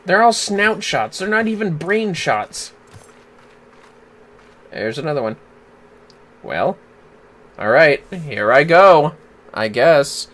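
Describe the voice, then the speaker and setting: A man's voice: fairly high pitch, sing-song, slow pace, pauses often, a bit bright. A man hosting a casual game livestream, commenting in an amused, sweary, wry way. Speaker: livestream host. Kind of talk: casual game commentary, reading dialogue aloud.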